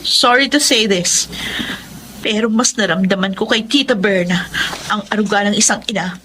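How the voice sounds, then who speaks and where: An actress playing a defiant, hurt college student in a Filipino melodrama, pleading and protesting earnestly with a Filipino accent. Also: female voice, mid-range voice, normal speed, sharp tone, a little hiss or hum, lightly acted, poor audio. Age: young adult. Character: defiant, hurt college-age child of quarrelling parents. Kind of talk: melodramatic radio drama acting.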